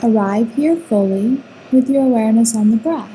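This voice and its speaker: A woman guiding a sleep meditation with soothing, unhurried instructions and long pauses between phrases. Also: a little high, dark tone, a little hiss or hum, low-quality recording. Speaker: meditation guide.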